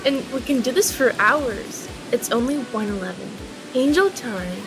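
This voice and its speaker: A carefree teenage girl acted by a woman in a drama table read. Her voice is high and sweet, she takes her time over the words, and music plays clearly behind her. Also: expressive, a little slow, rough recording. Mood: cheerful. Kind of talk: film script table read.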